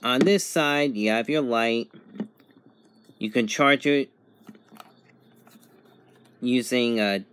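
Slow-paced man narrating a product review. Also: mid-range voice, a bit bright, smooth. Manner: halting, methodical, in short phrases. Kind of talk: casual unboxing and review narration.

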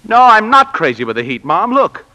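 An actor playing an eager, earnest young office clerk in a 1940s radio drama. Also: male voice, sits high, very animated, normal speed, pushed and bright, exaggerated voice. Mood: elated. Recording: vintage 1940s radio broadcast.

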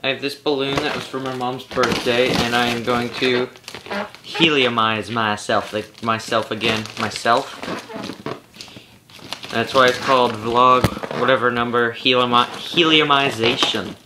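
A man's voice: medium pitch, steady tone, unhurried. A teenage boy vlogging from his bedroom to a webcam, chatty and self-amused, thinking aloud as he goes. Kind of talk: casual rambling vlog. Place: small bedroom, indoors. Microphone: webcam at arm's length. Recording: noisy home webcam recording.

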